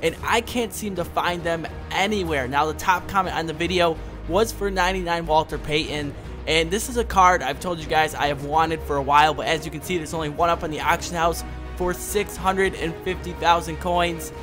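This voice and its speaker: A young man with a hoarse voice from a cold, doing upbeat gameplay commentary straight to his viewers. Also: on the high side, a bit bright. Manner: upbeat, fast-talking, speaks straight to viewers. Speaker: gaming YouTuber. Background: background music.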